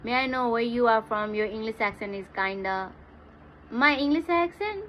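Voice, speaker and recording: A woman's voice: on the high side, normal speed, a bit bright. A woman with a Nepali accent chatting casually and playfully as a livestream host. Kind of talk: casual livestream chat, mixing English and Hindi. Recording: dull lo-fi livestream audio, clear background noise.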